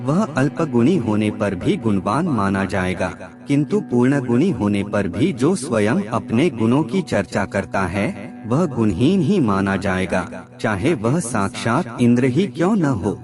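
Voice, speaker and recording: A man reading scripted moral aphorisms as a narrator, in a measured, formal recitation. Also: mid-range voice, sing-song. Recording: music bed under the voice. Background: background music.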